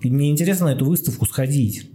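A man's voice: medium pitch, quick pace, warm tone. A man hosting a casual, improvised podcast in a rambling, blunt way, repeating words for emphasis. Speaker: podcast host, doctor.

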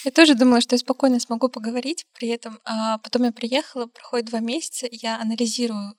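A young woman with a fairly high voice, a guest on a casual podcast. She talks in a lively way and laughs often between phrases. The sound is dry and close. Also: fast, dry recording. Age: young adult. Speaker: guest, law graduate studying abroad. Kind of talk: casual interview chat.

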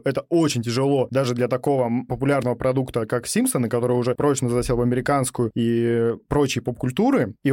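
A man's voice: medium pitch, a little mellow, no room sound, studio quality. A young man co-hosting a podcast, discussing pop culture casually in long analytical explanations. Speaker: podcast co-host.

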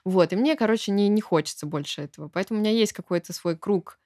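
A woman's voice: mid-range voice, fast, dark tone, dry recording, studio quality. A woman in her twenties chatting casually as a guest on a podcast. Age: 20s. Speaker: podcast guest, small shop owner. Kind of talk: casual interview chat.

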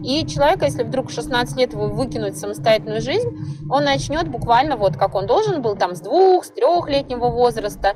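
A woman's voice: a little high, fairly quick, music in the background. A woman hosting a podcast, talking conversationally and expressively in a monologue. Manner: expressive, often acts out imagined inner voices. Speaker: podcast host.

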